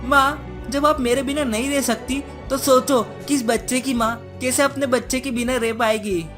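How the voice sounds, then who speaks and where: A kind-hearted little ghost boy from a cartoon tale, played by a woman. The voice is high, earnest and insistent, always pleading. Music runs underneath. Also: fairly even intonation, moderate pace, fairly bright tone. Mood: determined. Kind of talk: cartoon story character voice. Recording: music behind the voice.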